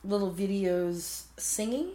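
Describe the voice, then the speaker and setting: A woman interviewing a guest in a casual chat, encouraging and quick to laugh along. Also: medium pitch, dark tone, roomy sound.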